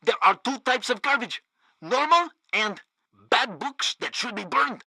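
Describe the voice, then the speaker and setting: Gleefully loyal state radio host: bright, forceful, swooping delivery, pompous and sycophantic, game news satire. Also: male voice, very high voice, animated, a little slow, dry recording, clean studio sound, heavily acted. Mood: grandiose, fawning. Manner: grandiose, sycophantic.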